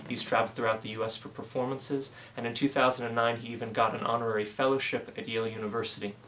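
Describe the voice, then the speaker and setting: Formal, measured male interviewer. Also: mid-range voice, little pitch movement, a bit bright, a little hiss or hum, low-quality recording. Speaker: interviewer, author. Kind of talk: scripted introduction and interview questions.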